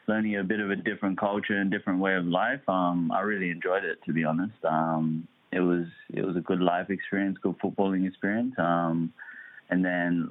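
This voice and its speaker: A young man, a footballer born in Kenya and raised in Adelaide, answering interview questions with many hesitant ums. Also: sits low. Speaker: footballer guest. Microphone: phone call. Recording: tinny phone line.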